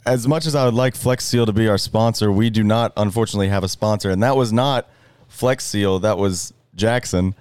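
A man's voice: lowish voice, a little fast, hardly any pauses, darkish tone, clear, resonant tone, no room sound. A young man co-hosting a casual podcast, joking and chatty. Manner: joking, laughing, loose and chatty. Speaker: podcast co-host.

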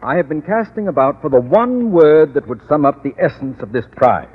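Autocratic blustering principal, orotund and wordy, lively intonation, 1940s radio comedy style, narrow tinny recording. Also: male voice, a little high, moderate pace, breathy edge. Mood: long-winded, officious. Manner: pompous, long-winded, orotund. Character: pompous, autocratic, short-tempered male school principal.

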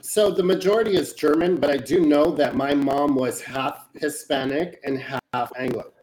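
A man from Texas, tipsy, chiming in with quick interjections in a casual discussion. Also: a little high, steady tone, a little mellow, slight background noise, low-quality recording. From Texas, USA.

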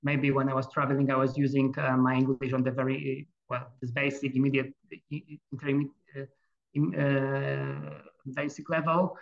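Hesitant Polish-accented man, gravelly voice. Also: mid-range voice, level tone, moderate pace. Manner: hesitant, many fillers and restarts. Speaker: guest. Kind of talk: casual interview. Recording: dull lo-fi sound.

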